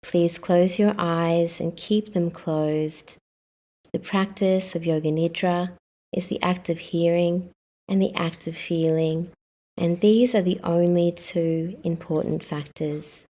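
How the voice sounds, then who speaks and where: Woman leading yoga nidra, really slow and calm, lowish voice, short measured phrases. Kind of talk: guided meditation.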